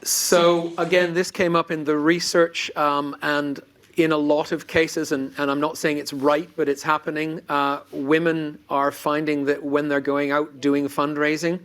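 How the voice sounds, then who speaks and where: A man's voice: fairly high pitch, level tone, fairly bright tone. A man moderating a conference panel, speaking earnestly with frequent restarts and repeated words. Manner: earnest, halting, many restarts. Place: conference venue on stage.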